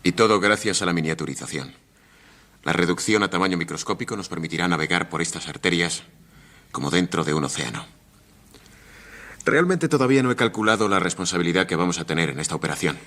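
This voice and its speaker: An actor in a radio drama playing an earnest, doubtful agent, speaking in a formal manner. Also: male voice, low-pitched, animated, fast talker, sharp tone, exaggerated voice. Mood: earnest, wondering. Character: earnest, doubtful male agent recruited for a mission.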